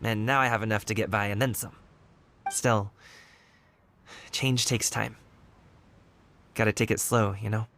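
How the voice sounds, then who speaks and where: A man's voice: lowish voice, steady tone, measured pace, gravelly, long pauses, fairly bright tone, slight background noise. An actor playing an easygoing young man, a wushu dancer working as a guard, friendly and candid in a video game. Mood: earnest. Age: young adult. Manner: friendly, laid-back and candid. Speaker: game character.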